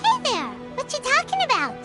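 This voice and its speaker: A woman voicing a tiny, chirpy fairy girl companion in a video game, with a squeaky childlike voice. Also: wildly swooping pitch, a little fast, music in the background, heavily acted. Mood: excited, urgent.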